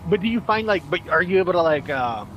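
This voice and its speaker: A man's voice: high-pitched, animated, fast talker, fairly bright tone, highs cut off. Teasing young man, noisy street. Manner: teasing, laughing often, restarts words. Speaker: streamer. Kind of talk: joking casual chat. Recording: noisy outdoor street recording.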